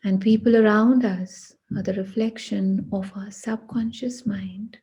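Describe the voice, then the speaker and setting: A woman guiding a meditation in a hushed, breathy voice, speaking deliberately in short phrases with long pauses. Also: mid-range voice, fairly even intonation. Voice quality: breathy, husky. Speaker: meditation guide. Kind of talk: guided meditation.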